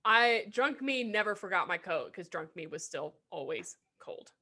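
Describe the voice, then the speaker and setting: A young woman co-hosting a podcast, chatting in a blunt, animated way and swearing freely. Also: mid-range voice, moderate pace. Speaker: podcast co-host. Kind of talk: casual chat.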